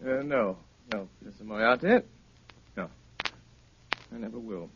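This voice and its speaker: An actor playing an unflappable gentleman detective with a dry, politely ironic manner, in 1930s radio drama style. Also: lowish voice, measured pace. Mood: calm, wry. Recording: muffled, hissy 1930s radio recording.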